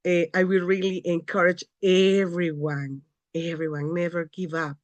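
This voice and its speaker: A woman's voice: lowish voice, flat delivery, a little slow, dry recording, lo-fi sound. Woman from el salvador, earnest, repeats herself to push the point, dry sound. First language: Spanish. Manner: earnest, repeats phrases for emphasis. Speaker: panel speaker. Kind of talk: encouraging talk to an audience.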